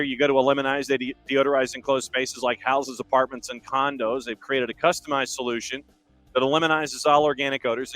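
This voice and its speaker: A man's voice: mid-range voice, a bit bright, dry recording. A male sports talk host with a mid-range voice and a wordy style that wanders into asides. He is recorded close and dry, with a music bed faintly behind him. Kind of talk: casual sports talk and live-read sponsor spots. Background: background music.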